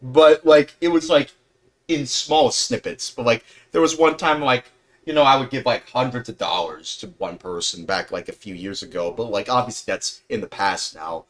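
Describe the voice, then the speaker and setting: A man's voice: medium pitch, normal speed. A man in his mid-twenties chatting casually as a livestream host, rambling earnestly and often repeating and rephrasing himself.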